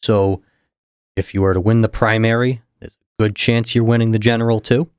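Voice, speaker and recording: Young man with a low, dry voice. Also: fairly even intonation, clear, resonant tone, no echo. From New Hampshire. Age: young adult. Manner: measured, explanatory, chuckles between points. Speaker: political candidate guest. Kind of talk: casual interview.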